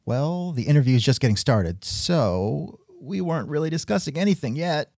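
A man's voice: on the high side, animated, normal speed, darkish tone, no echo, studio quality. A man hosting a scripted comedic interview show, sardonic and increasingly exasperated. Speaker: podcast host.

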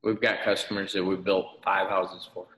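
Deep man in an echoey room. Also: flat delivery, normal speed, fairly bright tone. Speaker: home builder, panel guest. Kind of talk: measured advice in conversation. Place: home office. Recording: echoey room over video call.

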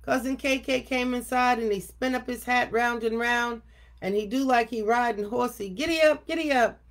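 Older woman reading aloud, higher-pitched voice. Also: little pitch movement, moderate pace. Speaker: storyteller reading a picture book aloud. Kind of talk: expressive children's read-aloud. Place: home office room indoors. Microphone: webcam at desk distance.

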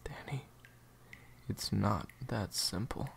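A man's voice: fairly low pitch, takes it slowly, creaky, in a whisper, full character voice. A man playing a weary, conflicted assassin, cold but hurting, in an audio roleplay drama. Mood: pained.

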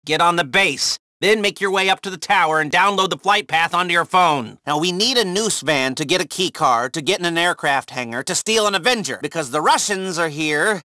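A high, bright-voiced heist organiser for a video game. He is a jittery techie who wisecracks and rambles sardonically through mission instructions, with hesitant asides. The sound is dry and close. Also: lively intonation, fairly quick, hardly any pauses, dry recording. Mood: sardonic.

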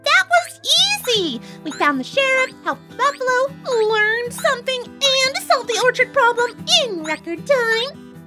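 A hyper, bubbly pink pony girl voiced by a woman. Her voice sits extremely high and bounces all over the place. She chatters along giddily. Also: measured pace, loud music behind the voice, heavily acted. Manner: excitable, giddy, chattering.

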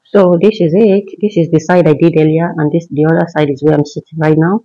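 A woman with a slightly husky, breathy voice talks viewers through her housework. The sound is dull and lo-fi, as if from a phone camera set on the floor of a tiled home. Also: sits low, fairly even intonation, a little fast, warm tone. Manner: chatty, talks viewers through each task while working. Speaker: housemaid filming her cleaning routine. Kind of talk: casual vlog narration to viewers. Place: tiled rooms of a large home.

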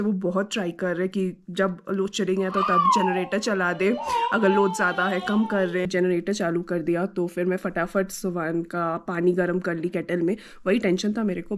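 A woman's voice: medium pitch, fairly even intonation, normal speed, noisy background. A woman in her thirties chatting casually in a travel vlog, mixing Hindi and English, filmed on a phone held at arm's length. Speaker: travel vlogger. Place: hotel doorway and car.

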